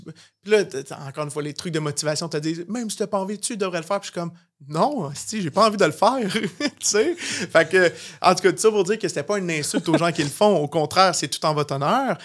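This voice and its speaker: A man's voice: fairly high pitch, a bit bright. A young man with a Quebec French accent chatting casually as a podcast guest, emphatic and informal, dropping in English slang. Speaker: podcast guest, real estate agency owner.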